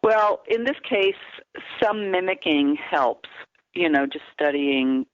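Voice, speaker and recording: Female vocal coach calling in, steady explanatory delivery, thin telephone sound. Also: lowish voice, no echo. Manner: measured, explanatory, teacherly. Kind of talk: phone-in radio interview. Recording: tinny phone line, dry.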